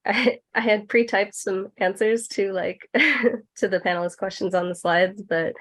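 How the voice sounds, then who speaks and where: A woman with a lowish, gravelly voice speaks very slowly on an online workshop call. She is reflective and halting, pausing often as she talks informally. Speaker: workshop panelist. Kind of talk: informal reflective panel talk.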